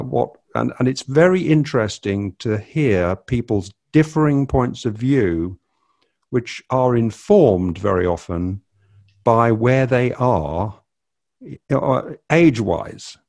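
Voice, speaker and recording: A man's voice: medium pitch, creaky, darkish tone. An older man hosting a casual family podcast, rambling and hesitant with many fillers. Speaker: podcast host.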